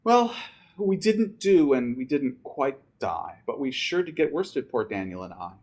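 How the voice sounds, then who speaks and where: A man reads a rhyming children's story in a theatrical way. His pitch is medium and highly animated, rising and falling with the drama. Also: measured pace. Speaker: storybook reader. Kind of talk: expressive rhyming children's story read aloud to students.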